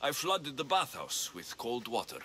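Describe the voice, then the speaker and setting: An actor playing a tough, blunt, sardonic mercenary of ancient Greece in a video game. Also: male voice, mid-range voice, sing-song, a little slow, pushed and bright, slight background noise. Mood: wry, businesslike. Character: tough, wry male Spartan mercenary, blunt and menacing. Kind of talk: video game character acting.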